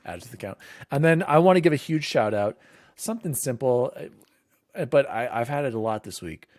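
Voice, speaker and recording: A man hosting a casual gaming podcast, upbeat and jokey, hyping up shout-outs to listeners. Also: medium pitch, animated, a little fast, no echo. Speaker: podcast host.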